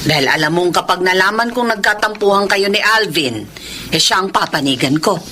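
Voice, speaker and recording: A woman's voice: mid-range voice, lively intonation, fairly quick, pushed and bright, slight background noise. An actress in a Filipino radio drama playing a blunt middle-aged mother, chiding and emphatic, with a Filipino accent.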